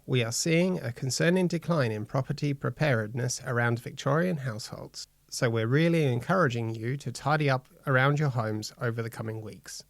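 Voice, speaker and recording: Australian male reader, creaky mid-pitched voice, dry recording, prepared advisory statement. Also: moderate pace, hardly any pauses, dry recording. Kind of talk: scripted reading of a public safety statement.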